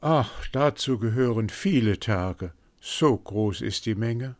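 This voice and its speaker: A man voicing a faithful, clever servant in a fairy tale, calm and persuasive. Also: medium pitch, slow pace, pitched above the performer's own voice.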